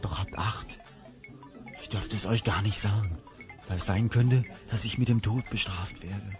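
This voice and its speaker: A man's voice: fairly low pitch, measured pace, over music, close to an everyday voice. An actor playing a friendly but worried male innkeeper who confides rumours and warnings in a fantasy audio drama. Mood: grave, confiding.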